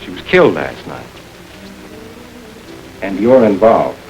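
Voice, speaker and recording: An actor playing a firm detective who speaks bluntly and steadily, in a 1940s film. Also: male voice, deep voice, very animated, slow pace, a little mellow, highs cut off, faint music behind, full character voice. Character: firm male detective, adult.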